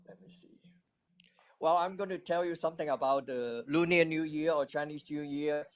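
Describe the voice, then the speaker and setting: A man giving an informal sermon in a conversational way, filling his talk with little asides. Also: mid-range voice. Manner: conversational, many "you know" fillers. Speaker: preacher.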